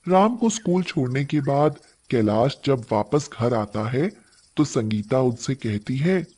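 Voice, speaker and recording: A man's voice: medium pitch, expressive, measured pace, darkish tone. A man narrating a scripted moral story as its storyteller.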